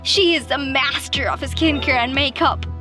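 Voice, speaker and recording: A woman voicing a boastful, dismissive, self-absorbed teenage girl in an animated story, turning whiny when playing helpless. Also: high-pitched, moderate pace, soft background music. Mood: smug, boastful. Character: bratty, self-absorbed teenage pick-me girl, feigning sweetness.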